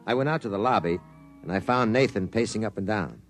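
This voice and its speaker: A man's voice: fairly low pitch, fairly quick, soft background music, close to an everyday voice. A man playing an earnest, suspicious project member who also narrates in first person, in 1950s radio drama style. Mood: grave, narrating.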